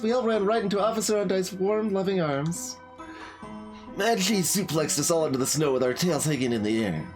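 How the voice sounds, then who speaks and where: A man's voice: fairly high pitch, pitched above the performer's own voice. A man voicing a cheerfully dramatic dog burglar from a game, telling a tale theatrically.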